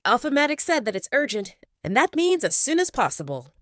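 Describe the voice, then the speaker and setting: Lady doing a pushy pack guy, urgent, louder and higher than her narration. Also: sing-song, brisk, no echo, clean studio sound. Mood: insistent. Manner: urgent, insistent.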